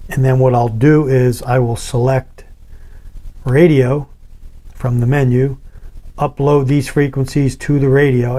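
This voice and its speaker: A man's voice: mid-range voice, dark tone. A man talking casually as a video host, explaining gear in plain words, step by step. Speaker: product reviewer and video host. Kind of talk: casual how-to product demonstration.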